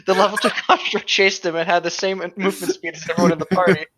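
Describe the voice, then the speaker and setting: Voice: mid-range voice, steady tone, quick pace, fairly bright tone. A co-host casually reading tabletop gaming anecdotes aloud, informal and amused.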